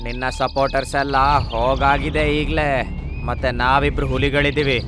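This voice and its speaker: A man's voice: mid-range voice, level tone, moderate pace, music in the background, natural voice. A man voicing a cocky, threatening tiger who talks in taunts in a cartoon story. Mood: taunting.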